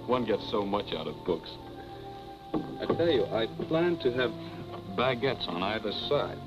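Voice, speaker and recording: An actor playing a suave young husband in a 1940s film melodrama, wry, evasive and easily exasperated. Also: male voice, on the low side, very animated, a little slow. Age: young adult. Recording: muffled 1940s film soundtrack, music behind.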